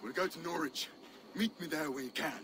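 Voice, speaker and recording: An actor playing a fiery, brooding Danish Viking warrior in a video game cutscene. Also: male voice, on the high side, brisk, background noise, natural voice. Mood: downcast, grim.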